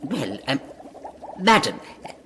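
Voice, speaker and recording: A man playing a bumbling, over-formal lord in a comic audio drama, halting and pompously polite. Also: on the high side, very animated, pushed and bright, a little hiss or hum, exaggerated voice. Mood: hesitant, awkward.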